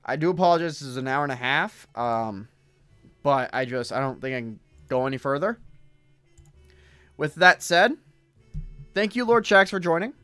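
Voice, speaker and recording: A hoarse man hosting a podcast stream, reading stories aloud and rambling to his chat. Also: fairly high pitch, expressive, moderate pace, pauses often, fairly bright tone, no room sound, faint music behind. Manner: rambling, talks to chat while browsing. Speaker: podcast host.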